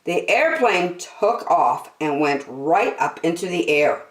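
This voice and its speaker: A woman hosting story time, reading a children's book aloud in an expressive, deliberate, sing-song way. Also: deep voice. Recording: echoey room.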